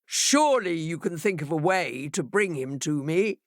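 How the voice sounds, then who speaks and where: A woman's voice: sits low, lively intonation, normal speed, no room sound, clean studio sound. A woman voicing Leviathan, an angry, commanding sea monster king.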